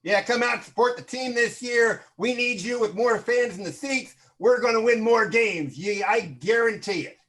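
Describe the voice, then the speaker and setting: A man's voice: extremely high pitch, normal speed, few pauses, fairly bright tone. A man playing a defensive, hopeful losing football coach in an improvised game show parody. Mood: earnest.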